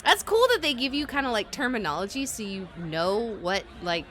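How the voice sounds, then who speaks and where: A woman livestreaming a visual novel, reading the text aloud and reacting to it in an expressive, giggly way. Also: mid-range voice, fairly quick. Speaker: streamer playing a visual novel. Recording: music behind the voice. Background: game music.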